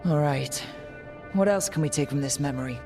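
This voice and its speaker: A wry, slightly weary young leader from a fantasy video game, voiced by an actor. The voice sits at a middling pitch and sounds natural and grounded, with faint music underneath. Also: fairly quick, lightly acted. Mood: reflective.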